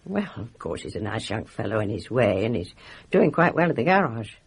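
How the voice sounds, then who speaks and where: Meddling woman, village nurse in radio drama: knowing, husky, animated. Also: extremely low pitch, a little fast, full character voice. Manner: confiding, advising, knowing. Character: nosy, gossipy female village nurse.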